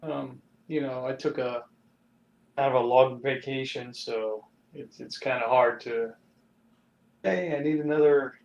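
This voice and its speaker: A man playing in a tabletop game, talking in a relaxed, easygoing way over voice chat. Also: mid-range voice, unhurried, creaky, reverberant, highs cut off. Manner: relaxed, easygoing, drops "man" and "you know". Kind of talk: casual chat. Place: remote call over voice chat.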